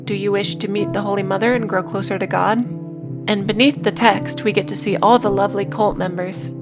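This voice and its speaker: A woman's voice: medium pitch, steady tone, a little fast, music in the background. A woman hosting a video essay, reading scripted narration with casual, sardonic asides.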